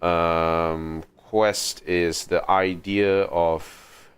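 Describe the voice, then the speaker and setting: A man's voice: sits low, steady tone, extremely slow, smooth, no room sound. A man giving casual livestream game commentary in musing, sparse remarks with thoughtful hums. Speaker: livestream gamer.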